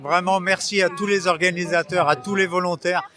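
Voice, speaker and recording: A man's voice: high-pitched, fairly even intonation, fast, over music. A man, a race athlete, giving terse, clipped interview answers.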